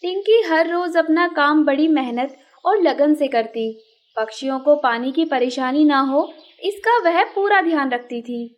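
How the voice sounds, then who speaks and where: High-pitched woman storyteller. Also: unhurried, lo-fi sound. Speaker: story narrator. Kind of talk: scripted children's story narration.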